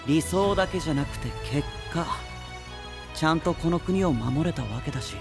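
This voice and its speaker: A man voicing a relaxed, thoughtful young male knight in an anime voice drama, with an easygoing manner. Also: a little high, moderate pace, vocal fry. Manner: laid-back and easygoing. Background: music.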